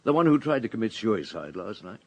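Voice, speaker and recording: An actor playing an authoritative, unhurried French police superintendent in a radio drama, questioning people and giving orders briskly. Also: male voice, fairly low pitch, big pitch swings. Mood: probing.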